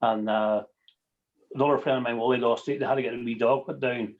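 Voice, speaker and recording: Northern Irish man, mid-range voice, halting informal sharing, repeats himself, slightly dull video-call sound. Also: medium pitch, steady tone. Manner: halting, repeating words, tagging phrases with everyday sayings. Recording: muffled video call audio.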